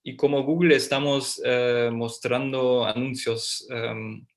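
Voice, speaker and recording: A man with a gravelly voice speaks Spanish as a second language, carrying an accent he picked up in Argentina. He talks hesitantly, filling pauses while he searches for words, as a guest founder on an online call. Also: mid-range voice, fairly even intonation. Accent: Argentine Spanish, learned as a second language. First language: not Spanish.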